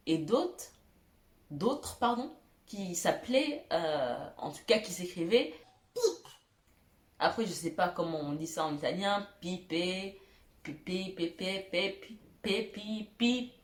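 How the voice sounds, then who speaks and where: A woman's voice: lowish voice, animated, takes it slowly. Young woman vlogging silly childhood stories, giggly, lots of "euh", roomy echo. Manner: self-mocking, giggly, many fillers. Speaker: YouTuber. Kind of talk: storytelling vlog.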